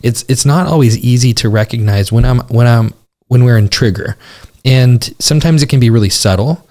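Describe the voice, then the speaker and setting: A man's voice: mid-range voice, darkish tone. Thoughtful man podcast co-host. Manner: thoughtful, halting, with many restarts. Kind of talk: casual podcast chat about relationships.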